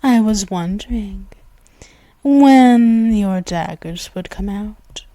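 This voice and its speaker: A commanding female vampire for an audio roleplay, purring each threat at an extremely unhurried pace. Her teasing menace slips into soft whispers, close and dry against the mic. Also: medium pitch, no room sound. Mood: mocking. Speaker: ASMR roleplay voice actress. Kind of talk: ASMR roleplay.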